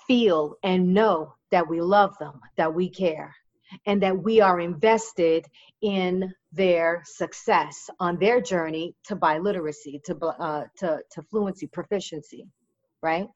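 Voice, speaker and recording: A woman's voice: lowish voice, slow. Earnest woman teacher, dull audio. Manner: earnest, emphatic, reflective. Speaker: Spanish teacher. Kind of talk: conversational interview.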